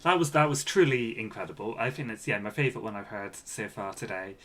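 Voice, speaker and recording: A man's voice: fairly low pitch, a little fast, over loud music. A man co-hosting a podcast, chatting casually and responding to his partner. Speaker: podcast co-host. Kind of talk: casual chat, reacting to co-host.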